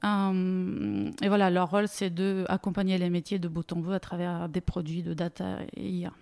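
A woman's voice: on the low side, flat delivery, normal speed, gravelly, a little mellow. A woman, a guest in a casual interview, talking with many fillers and frequent laughter. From Bulgaria. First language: Bulgarian. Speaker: interview guest, data leader.

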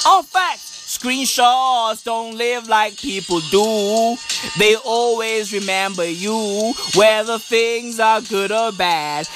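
Woman comic, high voice, slow deliberate delivery, profane slangy rant, dry close sound. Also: a bit bright, no echo. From South Africa. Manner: emphatic, profane, slang-heavy, repeats lines for punch. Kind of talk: stand-up comedy rant.

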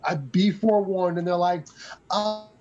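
A man casually reviewing a movie as a podcast co-host, excitable and swearing as he acts out his reactions. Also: high voice, unhurried, dull audio.

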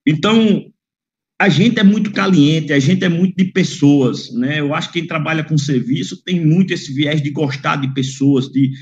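Man, fairly high voice, slangy and blunt, muffled video call audio. Good for a casual panel guest. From Northeast Brazil. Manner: expansive, frank, blunt with slang and mild swearing. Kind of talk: casual chat.

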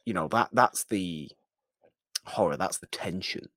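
A man's voice: low voice, unhurried, a bit bright, no echo, clean studio sound. A man co-hosting a podcast, casually discussing film in an emphatic way, repeating phrases and throwing out rhetorical questions. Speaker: podcast co-host.

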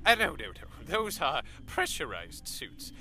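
A man's voice: on the high side, huge pitch swings, unhurried, bright, forceful tone, heavily acted. An actor playing a condescending male professor giving a pompous explanation in a radio drama, over a noisy recording. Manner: pompous, sneering at colleagues. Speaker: scientist interviewed on air.

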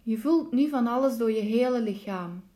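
A woman leading a guided relaxation meditation as a yoga nidra instructor, giving calm, soothing, measured instructions. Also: a little high.

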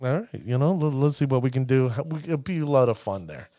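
A man's voice: mid-range voice, animated, quick pace, dark tone, no room sound. A man hosting a card-game show, talking with showman flourishes and stumbling over player names. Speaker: podcast host. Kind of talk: hype-filled casual show talk.

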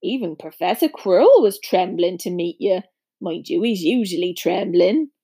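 A woman's voice: fairly low pitch, lively intonation, normal speed, dark tone, breathy edge, voice pushed down. A woman voicing a big, good-natured man with a blunt, folksy, emphatic way of talking in a storybook. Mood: hearty, confiding. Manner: folksy, informal, emphatic speech.

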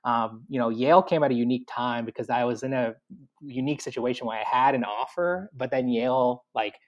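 A man's voice: mid-range voice, a little fast, highs cut off. A man telling his career path in an interview, chatty, full of likes and fillers. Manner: chatty, many likes and fillers, acts out his own thoughts. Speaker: guest, university staff.

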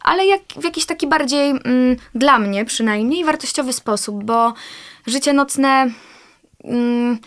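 A woman, an actress guest in a casual interview, talking in a chatty, reflective way with frequent pauses. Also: high-pitched.

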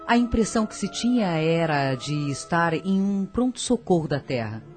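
A woman's voice: lowish voice, big pitch swings, moderate pace, a little mellow, soft background music. A woman narrating a scripted radio drama in a solemn, formal storytelling manner.

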